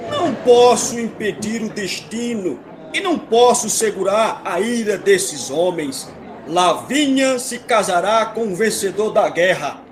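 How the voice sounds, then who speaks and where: Man acting a high-voiced, exasperated father king. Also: lively intonation, measured pace, crowd noise. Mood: commanding. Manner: declamatory, authoritative with colloquial lapses. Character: stern, exasperated father king, commanding and fatalistic. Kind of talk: comedic mock-epic audio drama acting.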